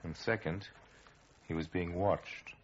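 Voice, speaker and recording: A man acting as the grave, sardonic narrator of an old radio crime drama, telling it with measured, ominous irony. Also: sits low, steady tone, slight background noise. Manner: measured, ironic, ominous storytelling. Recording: muffled vintage radio recording.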